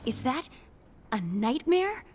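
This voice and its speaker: A woman playing a grave, wary apprentice in a game cutscene, speaking earnestly and deliberately. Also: high voice, very animated, heavily acted. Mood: alarmed. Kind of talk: animated game cutscene acting.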